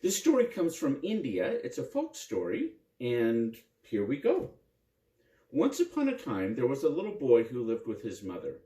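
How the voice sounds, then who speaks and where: A man narrating a children's story in an expressive, simple way, with dramatic repetition. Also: mid-range voice, normal speed. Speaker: priest and storyteller. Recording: roomy echo, distant microphone.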